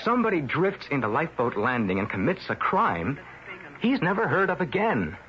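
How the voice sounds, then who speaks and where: A man's voice: a little high, wildly swooping pitch, moderate pace. An actor in an old-time radio drama playing a stubborn, indignant crusading newspaperman, insistent and suspicious of authority. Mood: grim conviction. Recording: muffled old radio recording.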